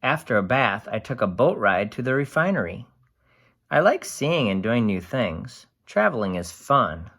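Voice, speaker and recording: Storybook aluminum can, acted by an adult reader: cheerful, wide-eyed, unhurried, with very animated pitch. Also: full character voice. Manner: bubbly, wide-eyed, expressive storybook delivery. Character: cheerful, excitable aluminum can writing diary entries.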